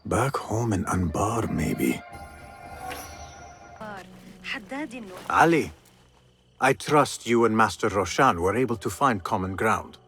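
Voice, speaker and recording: An actor playing a troubled, earnest young assassin in a video game. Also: male voice, fairly low pitch, animated, a little slow, creaky, heavily acted. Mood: reflective. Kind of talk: dramatic video game dialogue. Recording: loud music behind.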